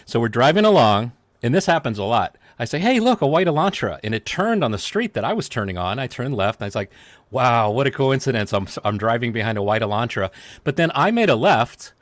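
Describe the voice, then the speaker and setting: A man's voice: mid-range voice, no room sound. A man chatting casually as a guest, rambling and telling stories with many restarts. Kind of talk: casual chat.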